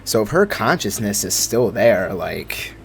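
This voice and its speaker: A man's voice: lowish voice, very animated, measured pace, vocal fry. A young man giving casual, slangy reaction commentary as a gaming video creator. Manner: slangy, informal.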